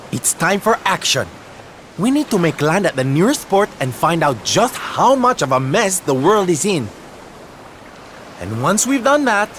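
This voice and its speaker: A man's voice: fairly high pitch, fairly bright tone, slight background noise. A man playing a flamboyant, tender-hearted seafaring hero in a video game, with a camp, theatrical manner. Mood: upbeat, bold. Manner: theatrical, camp, fond endearments. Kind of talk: video game cutscene acting.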